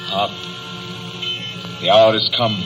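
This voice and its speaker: An actor playing an aged, solemn Christmas spirit nearing his end, speaking gravely in 1930s radio style. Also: lowish voice, flat delivery, slow pace, muffled recording. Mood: solemn, foreboding. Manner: grave, portentous. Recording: old radio recording, music underneath.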